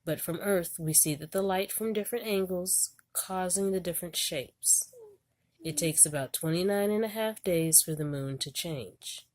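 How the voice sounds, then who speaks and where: A woman reading an educational children's book aloud in a deliberate, storybook manner. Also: lowish voice, darkish tone, no echo.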